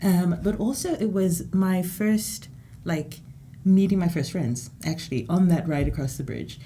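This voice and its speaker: A young woman from Zimbabwe speaks as a student guest on a panel. She tells her story in a relaxed, friendly way, in a lowish, mellow voice. Faint ambience sits behind her. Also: unhurried, slight background noise. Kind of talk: casual chat.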